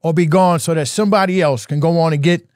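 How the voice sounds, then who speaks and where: A man's voice: a little high, steady tone, fast, darkish tone, no echo, clean studio sound. A man in his sixties, talking earnestly as a podcast guest in casual chat, often repeating himself. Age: 60s.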